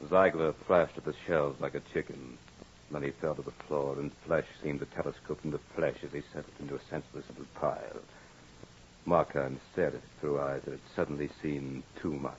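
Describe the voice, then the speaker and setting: A man's voice: very deep voice, steady tone, a little fast. A man narrating a 1940s radio horror tale in a grave, dramatic, literary storytelling manner. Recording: muffled noisy old radio recording.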